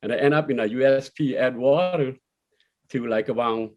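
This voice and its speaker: A man's voice: medium pitch, moderate pace, a little mellow, muffled recording. A man born in Laos and raised in Iowa, telling his own story in plain, informal speech with loose grammar. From born in Laos, grew up in Iowa. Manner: plain-spoken, non-standard grammar. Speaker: interview guest, former prisoner. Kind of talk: conversational personal account.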